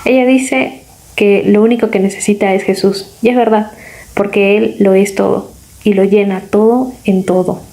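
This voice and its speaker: A woman's voice: mid-range voice, steady tone, moderate pace, gravelly, dark tone. A woman hosting a faith interview show, friendly and laughing along with her guest. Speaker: host. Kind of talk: scripted intro and interview.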